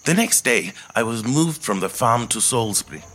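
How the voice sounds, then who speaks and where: An actor playing a quiet, thoughtful middle-aged man who tells his life story in a measured, reflective monologue. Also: medium pitch, sing-song. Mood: grave, measured. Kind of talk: scripted first-person monologue, audio drama storytelling.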